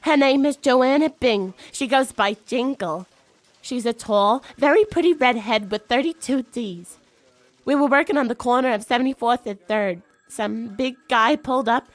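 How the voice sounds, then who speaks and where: A woman's voice: fairly high pitch, moderate pace, no room sound, natural voice. A woman playing a worried, earnest streetwalker in a radio drama, plain-spoken as she talks. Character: worried female streetwalker reporting her friend missing.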